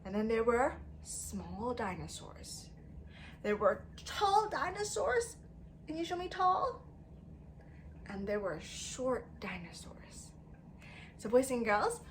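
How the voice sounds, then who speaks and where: High, animated woman teaching young children. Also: slow pace, slight background noise. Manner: sing-song, exaggerated, prompts children with questions and repetition. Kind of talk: interactive read-aloud lesson for young children.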